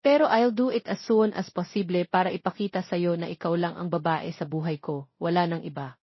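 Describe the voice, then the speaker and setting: A woman's voice: on the low side, fairly even intonation, brisk, slightly rough, no room sound, muffled recording. A woman with a Filipino accent voicing an earnest, devoted young male politician who pleads sincerely in an audiobook romance.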